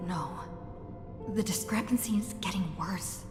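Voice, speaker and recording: An actress playing a wary young heroine in a video game, hesitant and musing to herself with doubt. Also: female voice, medium pitch, measured pace, lightly acted. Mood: uneasy, doubtful. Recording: echoey long reverb, game music behind.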